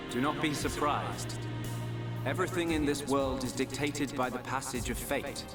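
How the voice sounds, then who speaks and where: A man's voice: medium pitch, moderate pace, lightly acted. Man voicing an echoing, solemn, aloof god. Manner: solemn, formal, declamatory. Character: serene, aloof god reborn, pronouncing fate. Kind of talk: video game cutscene acting. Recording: distant echoing voice under loud music.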